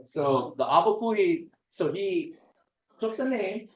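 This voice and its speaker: A man's voice: high-pitched, sing-song, slow pace, recorded from a distance. A man from Hawaii, giving a casual, joking talk as a guest speaker. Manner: chatty, joking, digressive. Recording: echoey room, voice from off mic.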